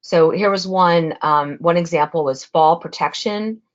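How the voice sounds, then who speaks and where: A woman's voice: lowish voice, unhurried. Woman presenting a webinar, lo-fi audio. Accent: Spanish. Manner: conversational with frequent fillers.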